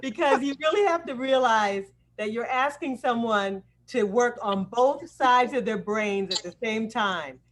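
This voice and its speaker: A woman's voice: on the high side, a little slow, hardly any pauses. A woman hosting an informal question and answer session as a director and acting coach on a video call. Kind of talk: informal live Q&A talk. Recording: noisy video call with clicks.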